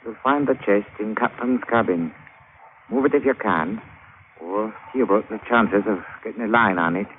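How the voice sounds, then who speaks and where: A man's voice: fairly low pitch, normal speed, natural voice. An actor playing a seasoned, salty seaman with dry colloquial talk in an old-time radio drama. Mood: dry, assured. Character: seasoned salty male seaman, leader of treasure hunt. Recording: noisy, tinny old radio recording.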